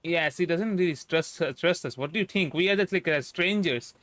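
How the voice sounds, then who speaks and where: A man's voice: fairly high pitch, fairly quick, a bit bright, dry recording, studio quality. A man chatting casually as he streams a game. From India.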